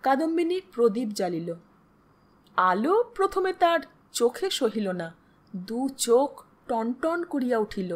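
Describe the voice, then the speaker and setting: A woman storyteller reading literary fiction in a measured, expressive, dramatic way, pausing between phrases. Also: fairly high pitch. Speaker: storyteller, audio story narrator. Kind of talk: dramatic literary narration of a Bengali short story.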